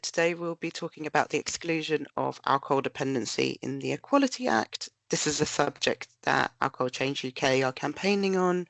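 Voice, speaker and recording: Woman, nasal from a cold, gravelly fry, close dry sound, webinar presenter. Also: deep voice, dry recording. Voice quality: nasal, bunged-up. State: blocked with a cold. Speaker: research director presenting.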